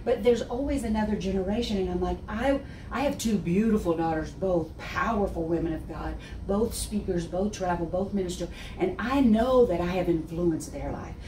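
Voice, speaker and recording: Woman in her sixties, Texan accent, lively storyteller, roomy sound from across the room. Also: fairly low pitch, moderate pace. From Texas. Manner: expressive, gestures constantly, laughs often. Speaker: guest speaker. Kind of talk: animated testimony. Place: wood-panelled office set with armchairs. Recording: roomy, distant, faint hiss.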